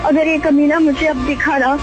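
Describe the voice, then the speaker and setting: A woman's voice: high voice, fairly even intonation, normal speed, muffled recording. A young woman speaking emotionally in a phone conversation. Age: young adult. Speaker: radio prank call recipient. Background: background music.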